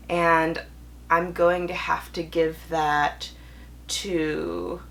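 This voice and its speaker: A young woman talking casually to viewers as a book vlogger, with animated emphasis and wry, self-deprecating asides. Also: fairly low pitch, gravelly, slight background noise. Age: young adult. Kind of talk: casual chatty video to viewers.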